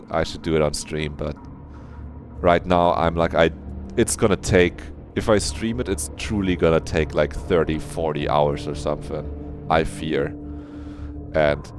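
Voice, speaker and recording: A man live-streaming a visual novel, chatting casually in a rambling, joking way with some swearing and reading the story aloud. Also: a bass voice. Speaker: game streamer.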